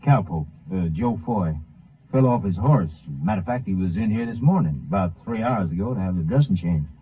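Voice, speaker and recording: Casting: practical American rural doctor, lowish voice, obliging, vintage narrow-band radio sound. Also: male voice, expressive, fairly quick, breathy edge. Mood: matter-of-fact. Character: matter-of-fact country doctor, obliging. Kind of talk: 1950s radio drama acting. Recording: muffled narrow-band 1950s radio recording.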